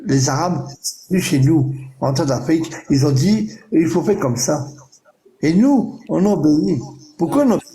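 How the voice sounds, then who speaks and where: A man's voice: a little high, expressive, mellow, rough recording. Insistent man repeating himself. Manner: repeats phrases, slow and insistent. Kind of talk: casual group voice chat, repetitive rhetorical questions.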